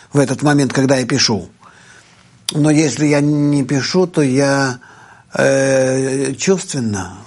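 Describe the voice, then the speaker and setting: A native Russian-speaking man, a guest teacher answering viewer questions in a live talk, slow and hesitant, thinking aloud with many fillers. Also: mid-range voice, a little mellow, husky.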